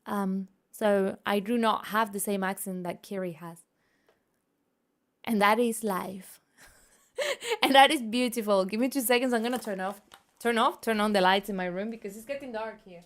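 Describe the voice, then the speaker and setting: A woman's voice: medium pitch, animated, moderate pace, fairly bright tone. A woman from Spain with a Spanish accent, chatting playfully with viewers during a game stream from her room, laughing often. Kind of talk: casual gaming stream chat.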